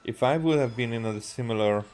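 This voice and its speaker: A man from Hungary, thinking aloud in halting, rambling gameplay commentary and often repeating himself. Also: mid-range voice, a little mellow. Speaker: video game streamer. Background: faint game music.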